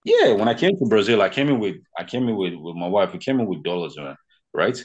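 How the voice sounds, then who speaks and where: A man's voice: lowish voice, sing-song, fast talker, highs cut off. A Nigerian man whose first language is Yoruba, a guest on a podcast, talking animatedly and repeating himself, with "man" and "you know" all through his speech. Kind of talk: casual conversation.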